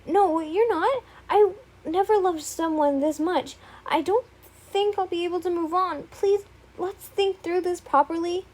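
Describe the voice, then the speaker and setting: A woman's voice: extremely high pitch, unhurried, a little mellow, voice pushed up. A woman voicing an obsessive, tearful young man who pleads in an emotional way. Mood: pleading.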